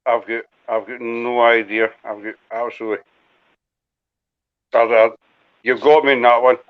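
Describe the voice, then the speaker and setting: A man's voice: medium pitch, flat delivery, moderate pace, clear, resonant tone. A Scottish man hosts a loose, rambling chat in a mid-range voice, poking fun at himself as he goes. The audio is dull and lo-fi. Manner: chatty, rambling, self-deprecating. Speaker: host. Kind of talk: casual live chat.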